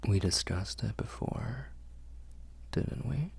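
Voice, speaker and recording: A protective vampire lord, played by a man, murmurs in a very husky, breathy whisper with a gravelly crackle. He takes his time over every phrase, tender and reassuring, in an intimate ASMR roleplay. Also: medium pitch, expressive, heavily acted. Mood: reassuring, coaxing. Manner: slow, hushed, reassuring.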